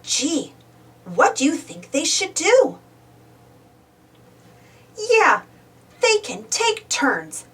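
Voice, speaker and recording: A woman plays a cheerful young preschool host from a storybook, talking straight to small children in a sing-song way. The voice is high and swoops widely, with a slow, careful pace. It sounds a little away from the microphone. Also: huge pitch swings, fairly bright tone, far from the mic, exaggerated voice. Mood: curious, encouraging. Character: Joe, cheerful young man hosting a preschool show. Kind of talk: children's storybook read-aloud.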